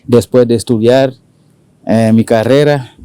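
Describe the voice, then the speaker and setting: A man in his forties from Haiti, speaking Spanish with a Dominican accent, talking haltingly with many fillers as an interview guest. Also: mid-range voice, fairly even intonation, dark tone, clean tone, no echo. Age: 40s. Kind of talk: casual conversational interview.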